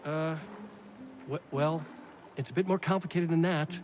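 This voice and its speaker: A man's voice: a little high, steady tone, measured pace, darkish tone, lightly acted. A man playing a cocky, taunting evil twin in a video game, with a theatrical, mocking delivery. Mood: flustered. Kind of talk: hammy game villain acting.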